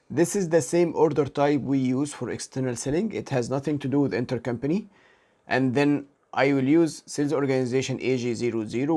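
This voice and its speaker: A man with a mid-pitched voice narrates a software tutorial as an instructor. He works through each step methodically, explaining what it means and reading codes out one character at a time. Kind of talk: step-by-step screen demo narration.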